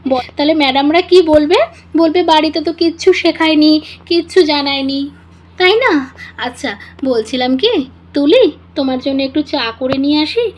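A woman's voice: high voice, normal speed, slight background noise, natural voice. A woman voicing a busy, fretting, talkative mother with an emphatic, confiding manner in a doll-play family story. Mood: nagging, hospitable.

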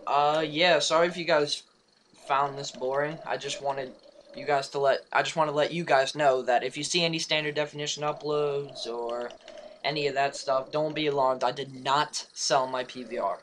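A young man with a raspy, just-woken voice, rambling through a casual update for his gaming channel with many fillers and restarts. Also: mid-range voice, steady tone, moderate pace, a bit bright, slight background noise. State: hoarse, just woke up. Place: home room beside his gaming setup.

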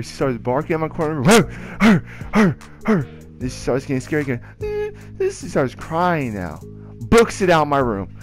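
A young man telling personal stories in a casual, rambling, slangy and excitable way. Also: on the high side. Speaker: video creator telling personal ghost stories. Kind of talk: casual storytelling over gameplay. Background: music.

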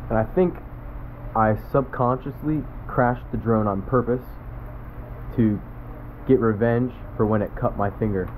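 An upbeat young man narrates his fishing trip outdoors and talks straight to his viewers. He has a mid-pitched voice. The camera audio sounds a little dull, with a faint hum of outdoor ambience behind him. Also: a little slow, long pauses. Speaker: fishing vlogger. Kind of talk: casual vlog narration. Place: outdoors by a saltwater creek.

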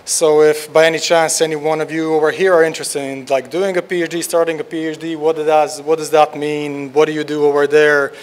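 A man's voice: a little high, flat delivery, fairly quick, few pauses. A young man from Croatia, a research scientist giving an informal tutorial talk in a lecture hall, with lots of "like" fillers and restarts. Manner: casual, many "like" fillers and restarts.

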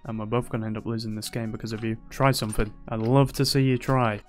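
A young man talks through a match in casual commentary, teasing his opponents and bragging in jest. His voice sounds a little worn from heavy use, and soft music plays faintly underneath. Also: lowish voice, fairly even intonation, quick pace. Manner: playful, teasing, boastful banter. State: slightly losing his voice. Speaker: gaming YouTuber.

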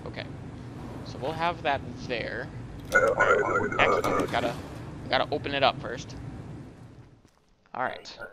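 A man's voice: medium pitch, measured pace, long pauses. Rambling male gamer over audible noise. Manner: rambling, thinking aloud, self-deprecating. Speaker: gaming video host. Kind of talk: casual gameplay commentary.

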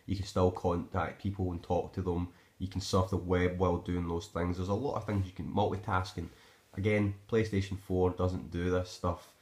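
A man's voice: sits low, darkish tone, roomy sound. A man with a Scottish accent giving a casual, unscripted review in a hesitant, rambling way, recorded on a phone in a living room. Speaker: amateur gadget reviewer. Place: indoors in a living room at home. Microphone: handheld phone camera.

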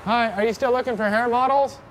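A man's voice: very high voice, fairly even intonation, quick pace. A man in his twenties filming a vlog, joking and self-deprecating as he calls out to people on the street. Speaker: vlogger doing a public stunt. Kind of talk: casual self-filmed vlog. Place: indoors then on a busy city street. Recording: street noise behind the voice. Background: traffic and street noise.

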